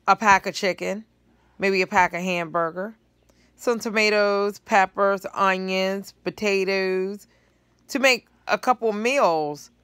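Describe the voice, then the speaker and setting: A middle-aged woman talks straight into a phone held close on her couch, her voice dry and near. Her tone is relaxed and conversational, and she works through the numbers out loud as she goes. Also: mid-range voice, smooth, dry recording. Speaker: vlogger commenting on news clips. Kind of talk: casual commentary to camera. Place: living room couch indoors. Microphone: phone held close at low angle.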